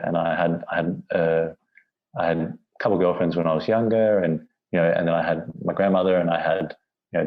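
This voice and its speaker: A man speaking as a podcast guest, talking candidly and haltingly, often restarting his words. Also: low voice, muffled recording. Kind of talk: candid personal conversation.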